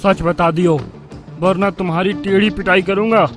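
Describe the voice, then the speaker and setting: A man's voice: high voice, steady tone, moderate pace, music in the background, natural voice. A man voicing a bossy, threatening wrestler in a cartoon moral story, blustering and confrontational. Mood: angry, threatening. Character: loud, threatening male wrestler customer, rough and bossy. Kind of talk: animated Hindi cartoon moral story acting.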